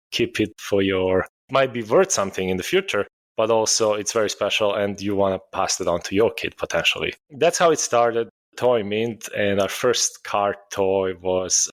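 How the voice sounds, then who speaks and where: A man, a guest answering interview questions in a steady storytelling way. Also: lowish voice. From Serbia. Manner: steady storytelling, occasional self-corrections. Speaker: guest, startup founder.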